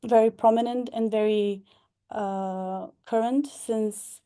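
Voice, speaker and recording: Hesitant young woman, flat voice. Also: fairly high pitch, slow pace, clean tone. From Bulgaria. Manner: hesitant, many fillers. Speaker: past delegate and graduate scholar. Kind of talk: online information session talk. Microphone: video call.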